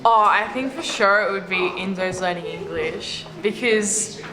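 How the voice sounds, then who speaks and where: A woman's voice: medium pitch, moderate pace. A teenage girl answering an interview question casually with hesitant fillers. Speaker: student interviewee. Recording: echoey classroom with chatter.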